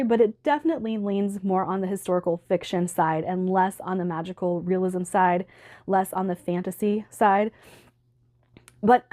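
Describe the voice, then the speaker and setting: A woman book vlogger talking casually to camera, upbeat and chatty, with a slightly hoarse voice from allergies. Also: mid-range voice, darkish tone. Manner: chatty, upbeat, laughs often.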